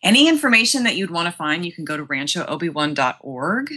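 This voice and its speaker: A woman with a notably low voice that has a bright, forceful edge. She talks in a casual interview style, as an enthusiastic guest who gushes about her job. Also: lively intonation, quick pace, husky. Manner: enthusiastic, effusive. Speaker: guest, museum publicity director.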